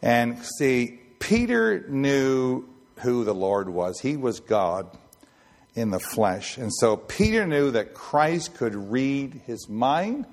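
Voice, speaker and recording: Raspy man in his sixties preaching. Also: mid-range voice, very animated, takes it slowly. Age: 60s. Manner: rambling, many fillers and restarts. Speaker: ship chaplain preacher. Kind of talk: informal Bible teaching.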